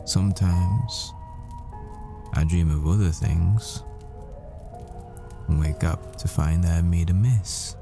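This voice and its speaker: A man playing a devoted, yearning boyfriend in an intimate audio roleplay, speaking tenderly and haltingly as if confessing. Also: low voice, music in the background. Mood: intimate, confessional.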